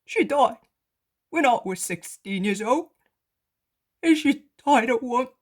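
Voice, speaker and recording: A man's voice: very high-pitched, lively intonation, slow pace, pitched above the performer's own voice, heavily acted. A woman voicing a poor, humbled male beggar who pleads and repents. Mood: earnest. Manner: earnest, pleading.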